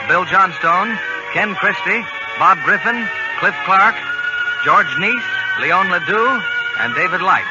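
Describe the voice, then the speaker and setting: A man announcing on 1940s radio with a polished, emphatic, scripted delivery. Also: high voice. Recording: dull old radio broadcast recording. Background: loud music.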